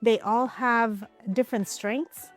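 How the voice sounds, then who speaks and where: A woman from Ukraine, a real estate agent, answering interview questions in a relaxed, conversational way, often hedging with "I would probably say.". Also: a little high, takes it slowly, faint music behind. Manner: relaxed, conversational, with frequent hedges.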